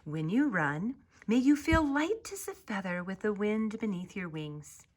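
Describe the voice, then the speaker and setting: A woman voicing a kind, encouraging ostrich in a children's storybook, speaking in a gentle, earnest and reassuring way. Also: mid-range voice, very animated, unhurried, pitched above the performer's own voice.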